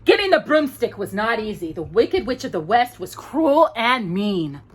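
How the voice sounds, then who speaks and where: A woman telling a children's story in an expressive, playful way, with casual asides. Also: on the high side, moderate pace, breathy edge.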